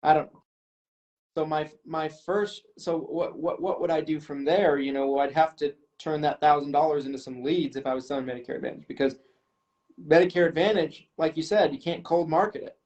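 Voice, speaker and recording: A man's voice: a little high, fairly quick, darkish tone. A man in casual chat, thinking aloud with ums and a self-deprecating manner. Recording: slightly dull lo-fi audio.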